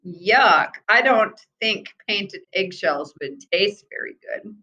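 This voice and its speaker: A woman voicing curious, eager young boys in a children's storybook, with an excited, questioning manner. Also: mid-range voice, slow, dull audio.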